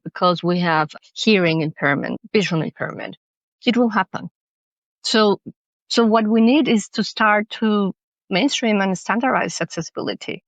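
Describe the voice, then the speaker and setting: A woman's voice: fairly low pitch, no echo. Earnest woman guest, English, thoughtful, restarts often, dry recording. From Mexico. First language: Spanish. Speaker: guest, accessibility consultant. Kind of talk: podcast interview.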